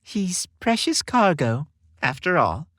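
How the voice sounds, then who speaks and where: A man voicing a kindly older woman, Santa's wife, in a gently doting storybook manner. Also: high-pitched, big pitch swings, slow, dry recording, studio quality, pitched above the performer's own voice, exaggerated voice. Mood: fond, twinkling.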